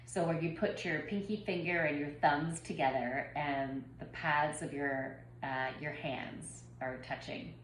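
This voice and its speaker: A somewhat low-voiced woman in her forties, still a little stuffed up from a cold, rambles through a relaxed spiritual check-in full of ums and restarts. The room sounds echoey, and faint noise hums underneath. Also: measured pace, hardly any pauses. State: slightly congested from a head cold. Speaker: spiritual reader and yoga teacher. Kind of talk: casual spiritual channeling vlog.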